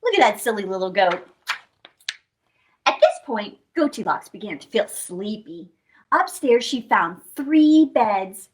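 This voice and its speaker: A woman's voice: medium pitch, measured pace. A woman hosting children's storytime, reading expressively and playfully, putting questions to young listeners. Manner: expressive, playful, asks listeners questions and adds sound effects. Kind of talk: animated picture-book read-aloud for young children.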